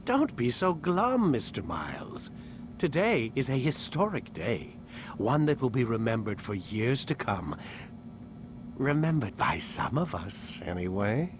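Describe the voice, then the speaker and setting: Smug, lilting scientist captor. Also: male voice, medium pitch, very animated, unhurried, noisy background. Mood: brisk, smug. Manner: condescending, smoothly articulate. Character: smug older male scientist captor, falsely polite. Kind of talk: video game cutscene acting.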